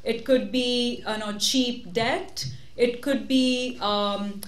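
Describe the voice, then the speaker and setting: A woman's voice: fairly high pitch, fairly even intonation, slow. A woman speaking as a panelist, explaining steadily and checking in with tag questions. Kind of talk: panel answer. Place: large meeting room with audience. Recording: roomy hall.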